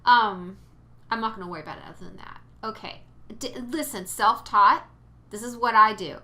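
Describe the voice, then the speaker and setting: A woman talking through a software tutorial at her desk, her voice bright and forward. She rambles cheerfully, tosses in playful little exclamations, and sounds a bit sniffly. Plain mid-range pitch. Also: moderate pace. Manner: chatty, rambling, with fillers and cute exclamations. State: sniffly from allergies. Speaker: 3D modeling tutor. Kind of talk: casual screen-recorded tutorial.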